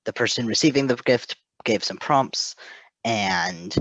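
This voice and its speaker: Man, mid-pitched voice, very varied intonation, halting and giggly, casual rambling chat, close dry sound. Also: lively intonation, vocal fry, fairly bright tone, no echo, dull audio. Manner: halting, self-deprecating, giggly. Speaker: co-host, fan fiction proofreader.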